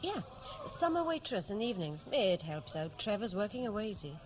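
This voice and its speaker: Guarded young Welsh wife, played by an actress, expressive pitch. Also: female voice, brisk, lightly acted, poor audio. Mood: guarded, polite. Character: married waitress, guarded, dryly stoical former sweetheart. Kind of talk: 1960s radio drama acting. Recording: old radio recording, light background noise.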